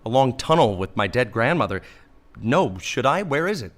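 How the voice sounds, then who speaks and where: Male actor as mild friar saint, mid-pitched, lively intonation, polite, naively earnest, comic audio play. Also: moderate pace, natural voice. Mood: bewildered. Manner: polite, reasoning, guileless.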